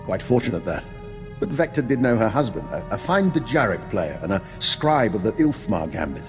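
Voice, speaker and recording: An actor playing an urbane, calculating old doctor and veteran spy in a video game, with a refined, courteous, understated manner. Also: male voice, fairly low pitch, very animated, moderate pace, big-room sound, music in the background. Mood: polite, genial. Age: older. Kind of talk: video game dialogue acting.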